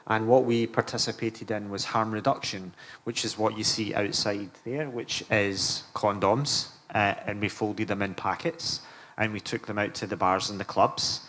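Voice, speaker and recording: A man's voice: lowish voice, fairly even intonation, moderate pace, a bit bright. A middle-aged man recalling his experiences in a conversational public talk. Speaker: panel speaker, film lecturer. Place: live event room at a museum. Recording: live room with faint background noise.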